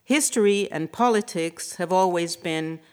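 Low-voiced woman reading a lecture. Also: clear, resonant tone. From Hungary. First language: Hungarian. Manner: measured, formal delivery. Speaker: writer and academic speaker.

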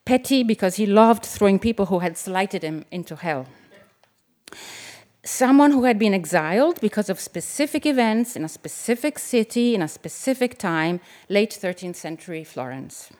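An Italian woman, a novelist, reading her essay aloud at a live event in a measured, reflective way, with the odd aside. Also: mid-range voice, expressive, a little mellow. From Italy. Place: event venue with an audience.

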